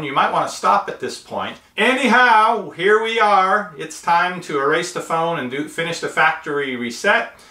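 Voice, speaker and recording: A man's voice: on the high side, bright, forceful tone. A man in his fifties hosting a tech tutorial, explaining each step methodically in a small room. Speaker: host of a tech tutorial channel. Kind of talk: step-by-step how-to instruction. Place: small indoor room, seated at a table. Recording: roomy indoor echo.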